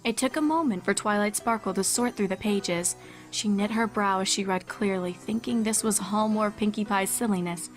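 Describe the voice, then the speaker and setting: A woman's voice: medium pitch, normal speed. A woman reading scripted fan fiction as a storybook narrator. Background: background music.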